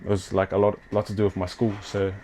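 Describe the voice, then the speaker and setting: A man's voice: fairly low pitch, completely flat delivery, fast talker, a little mellow, a little hiss or hum. Laid-back young New man. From New Zealand. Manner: laid-back, slangy, many fillers. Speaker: clothing brand owner and athlete. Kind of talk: casual interview chat. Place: his apartment.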